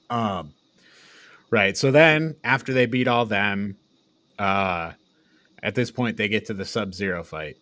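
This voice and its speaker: A man's voice: on the low side, slow, long pauses, a bit bright, dry recording. A man co-hosting a casual, informal review discussion, recapping things in an offhand way. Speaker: podcast co-host reviewing films.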